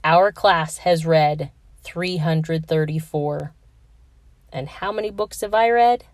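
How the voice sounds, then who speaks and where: A woman reading a children's picture book aloud with expressive storytelling. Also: low voice, slow, no room sound.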